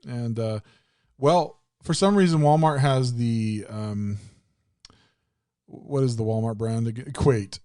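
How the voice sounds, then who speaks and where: A man's voice: medium pitch, slow, mellow, clear, resonant tone, dry recording, studio quality. A man in his thirties co-hosting a podcast, telling rambling stories in casual chat and laughing often. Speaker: podcast co-host.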